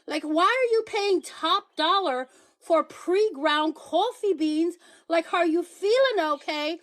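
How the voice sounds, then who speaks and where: Woman host, high voice, sassy emphatic delivery, playful accent switches; casual phone livestream. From Paraguay. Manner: sassy, emphatic, pleading and teasing the viewers. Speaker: livestream host promoting products.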